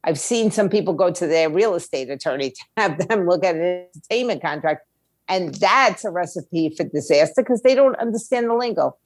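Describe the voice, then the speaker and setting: A woman's voice: lowish voice, expressive, fairly quick, no echo. A woman giving blunt, opinionated conversational answers as an interview guest, in short emphatic statements. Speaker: guest, music label owner and attorney.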